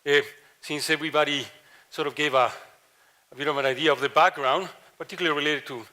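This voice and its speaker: Latin American-accented professor, bright voice. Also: male voice, on the high side, fairly even intonation. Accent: Latin American Spanish. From Mexico and Ecuador. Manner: halting, many fillers and restarts. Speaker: professor, panel speaker. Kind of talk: conference panel presentation.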